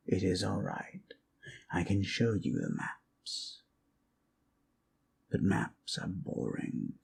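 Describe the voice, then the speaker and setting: A man voicing a courteous, rambling undead lich healer and storyteller in an ASMR fantasy roleplay, unhurried, musing and formally polite, with a gravelly, sometimes breathy voice. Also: low voice, a little mellow, a little hiss or hum, heavily acted.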